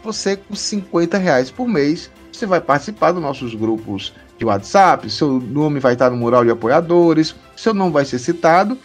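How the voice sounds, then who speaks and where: Guy from the northeast hosting a podcast, highish lively voice, chatty, little music under it. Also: normal speed, hardly any pauses. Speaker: podcast host. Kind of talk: casual podcast chat, explaining supporter tiers.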